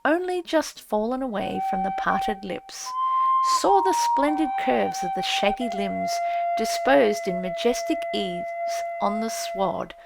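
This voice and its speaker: A woman narrating a literary audiobook in a solemn, expressive, dramatic storytelling manner. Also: a little high, unhurried, vocal fry, heavy background noise. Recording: prominent music under the voice. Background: music and effects.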